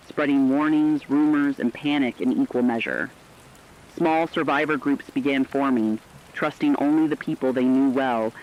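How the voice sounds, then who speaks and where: Dull lo-fi male horror narrator. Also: medium pitch. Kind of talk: scripted horror narration in the manner of an emergency radio chronicle.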